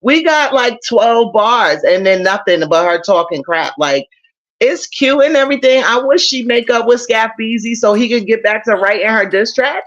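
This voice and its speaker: A man joining a casual podcast as a guest, relaxed and chatty. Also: high-pitched, moderate pace, highs cut off. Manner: relaxed, chatty, says bro. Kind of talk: casual chat.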